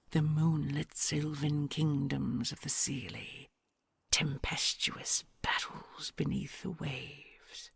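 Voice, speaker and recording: Woman doing a gentle female dragon queen, audio roleplay, breathy whisper, close dry mic. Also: low voice, no room sound, full character voice. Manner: soft, slow and soothing, mostly whispered. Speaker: voice actor.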